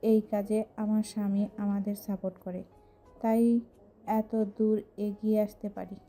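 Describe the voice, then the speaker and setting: A woman's voice: medium pitch, level tone, measured pace, dark tone, faint music behind, lightly acted. An actress playing a loyal young working woman who speaks in a plain, confiding way in an audio story.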